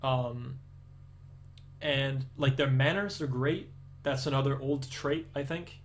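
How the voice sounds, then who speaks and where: Young Danish male streamer, slight Danish accent under American English, rambling and casual, mid-pitched voice. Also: steady tone, takes it slowly, slight background noise. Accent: Danish, aiming for American English. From Denmark. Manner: rambling, many restarts and fillers. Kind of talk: casual chat with live viewers.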